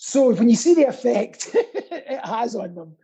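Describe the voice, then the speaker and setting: A man with a husky voice, hosting in a casual, jokey and theatrical way. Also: extremely high pitch, big pitch swings, measured pace, dark tone. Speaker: quiz host and pantomime performer. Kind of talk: casual comic chat. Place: indoors at home, remote call.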